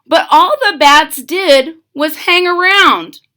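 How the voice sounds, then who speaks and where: A woman's voice: very high voice, pushed and bright. A woman reading a children's story aloud expressively, stressing and drawing out key words and adding explanatory asides. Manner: dramatic emphasis, drawn-out key words. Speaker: storybook reader.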